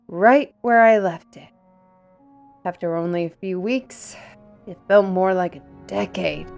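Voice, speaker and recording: Woman acting a wry, low-voiced fantasy steward over music. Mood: relieved, brisk. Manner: chatty, teasing, addresses listeners as lass and lad. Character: Vinci, talkative worldly steward woman, wry and teasing. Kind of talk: fantasy audio roleplay acting. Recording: music behind the voice.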